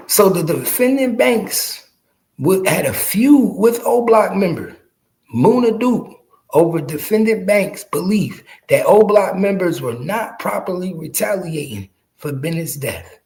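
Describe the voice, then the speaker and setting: High-pitched, creaky man in his forties. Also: animated, slow pace, darkish tone, rough recording. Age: early 40s. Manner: emphatic, repeating phrases for effect; slangy, profane. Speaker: podcast host. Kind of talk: casual street commentary on rap news, addressing viewers.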